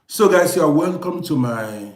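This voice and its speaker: A man's voice: a little high, lively intonation, normal speed. A man of fifty-eight from Vienna hosts an informal livestream, talking to viewers emphatically and repeating his phrases insistently. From Vienna, Austria. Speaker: live-stream host and storyteller. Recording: echoey room sound.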